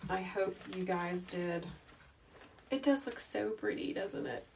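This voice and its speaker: A woman in her thirties talks through a live craft tutorial in a calm, soft, teacherly voice, chatting with her viewers. She stands in a small indoor workroom, a little back from the phone mic. A faint background noise runs under her voice. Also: medium pitch, takes it slowly, creaky, recorded from a distance. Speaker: wreath-making livestream host.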